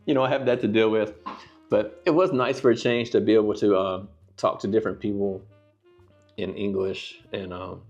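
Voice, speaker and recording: A man's voice: on the low side, a little mellow, dull audio. An American man in his forties talking casually to camera in his living room, rambling with lots of fillers and restarts. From United States. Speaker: vlogger. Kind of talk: casual talking-head vlog. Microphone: clip-on lapel mic.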